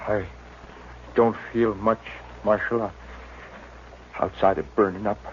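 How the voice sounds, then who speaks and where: Sick weary old west dad, low voice, halting, muffled old radio sound. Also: male voice, fairly even intonation, a little hiss or hum. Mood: weak, resigned. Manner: halting, resigned. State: feverish, weak, labored. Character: gravely ill frontier father, stoic, weary, brave. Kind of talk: 1950s radio western acting.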